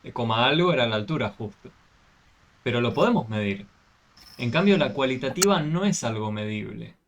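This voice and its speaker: A man's voice: mid-range voice, animated, normal speed, a little hiss or hum. A man tutoring a math class over a video call, patiently explaining and often checking with questions.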